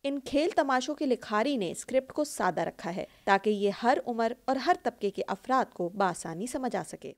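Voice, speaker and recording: A woman's voice: a little high, animated, few pauses. A woman with a Pakistani accent reading scripted news narration as a reporter. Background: faint music.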